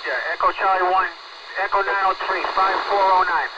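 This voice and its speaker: High-pitched man on muffled, noisy radio. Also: steady tone, normal speed. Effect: two-way radio. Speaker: emergency responder on radio. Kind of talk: live emergency radio traffic. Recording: dull, noisy scanner radio capture.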